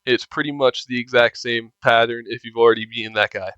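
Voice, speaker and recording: A man's voice: medium pitch, fairly even intonation, fairly quick, pushed and bright, clean tone, dry recording, muffled recording. A man giving casual walkthrough commentary for a video game. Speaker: game guide narrator.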